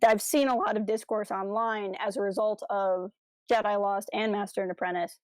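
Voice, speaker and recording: A woman's voice: mid-range voice, steady tone, moderate pace, a little mellow, no room sound. A woman co-hosting a podcast, talking casually in an earnest, reflective and analytical way. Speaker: podcast co-host.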